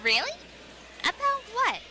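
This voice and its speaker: A woman's voice: very high-pitched, huge pitch swings, measured pace, pushed and bright, a little hiss or hum, full character voice. A woman playing a perky, giggly, mischievous teenage girl with a cutesy, bubbly manner in an audio drama. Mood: curious. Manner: cutesy, bubbly wording and delivery.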